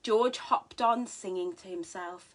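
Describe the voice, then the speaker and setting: A woman reading a storybook aloud as a mother to her young child, in an expressive, sing-song way. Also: medium pitch, measured pace.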